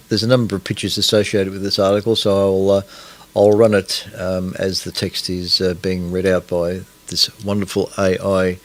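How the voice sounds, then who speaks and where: An Australian man hosting a casual astronomy radio broadcast, rambling and hesitant with many fillers as he sets things up. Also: low voice. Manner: rambling, hesitant, many fillers, talks to himself while setting up. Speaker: radio host.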